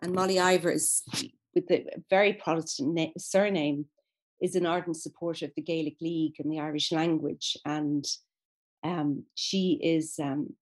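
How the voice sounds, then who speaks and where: A woman speaking as a guest in an interview, explaining at length in a thoughtful way with frequent fillers and restarts. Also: sits low. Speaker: guest expert on literature. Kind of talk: conversational interview.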